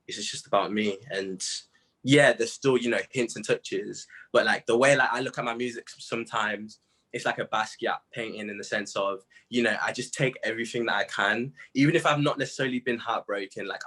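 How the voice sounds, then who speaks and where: A man's voice: lowish voice, sharp tone, husky. A British man in his late teens speaking as a musician in a casual interview, candid and self-reflective, with plenty of slang.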